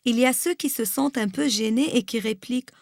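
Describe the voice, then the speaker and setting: Brief: woman from Lebanon, Lebanese accent, rolled R, native Arabic speaker, reading a personal essay, fairly high voice. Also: fairly quick, a little mellow, a little hiss or hum. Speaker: guest reader.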